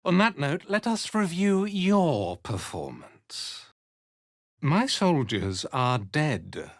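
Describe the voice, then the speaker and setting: A cold fantasy-game villain, a zealous commander of a cult. His delivery is slow and theatrical, swinging widely in pitch with haughty disdain. The sound is dry and close. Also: no echo, full character voice. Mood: cold authority. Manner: disdainful, measured, theatrical, imperious. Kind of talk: fantasy video game dialogue.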